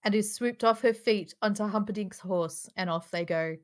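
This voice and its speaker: A woman's voice: mid-range voice, normal speed. A woman narrator reading stage directions aloud at a live, informal table read.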